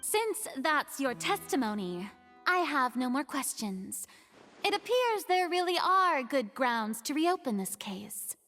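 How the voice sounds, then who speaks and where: A woman's voice: high-pitched, very animated, slow pace, fairly bright tone, soft background music, exaggerated voice. A woman playing a careful young courtroom questioner in a game, polite and tentative. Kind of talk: dramatic game voice acting.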